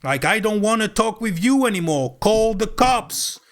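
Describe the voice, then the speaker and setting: A man streaming live and spinning a story with a slightly higher than usual voice. He swears freely and throws himself into acting out both sides of a shouting match. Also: normal speed. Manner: animated, swears freely, acts out heated arguments.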